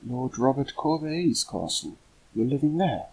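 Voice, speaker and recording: A man's voice: mid-range voice, sing-song, measured pace, vocal fry, darkish tone, a little hiss or hum, pitched above the performer's own voice, low-quality recording. A man reading as a curious, hesitant little boy. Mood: excited. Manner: questioning, tentative. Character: curious, hesitant nine-year-old English boy.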